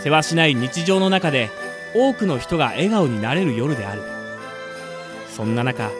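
A man's voice: a little high, expressive, normal speed. A man reading scripted narration for a radio drama. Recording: music under the voice.

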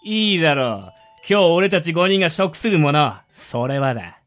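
A man hams up a theatrical young schemer in a radio drama. The voice is bright and forceful, swinging widely in pitch as he declaims with mock grandeur. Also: big pitch swings, moderate pace, faint music behind. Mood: mock-solemn. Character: theatrical, gleeful young man grandly announcing absurd plans, Satoru. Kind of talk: amateur radio drama acting.

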